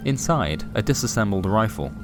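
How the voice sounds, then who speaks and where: A man narrating scripted noir comic fiction in a deadpan, wry manner. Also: lowish voice, moderate pace. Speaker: narrator and presenter. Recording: music behind the voice. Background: music.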